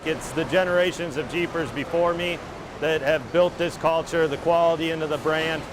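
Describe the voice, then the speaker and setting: A man's voice: a little high, steady tone, normal speed. An American man from Ohio, a car plant employee, speaking in a news interview. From Ohio, United States. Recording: clear vehicle and plant noise.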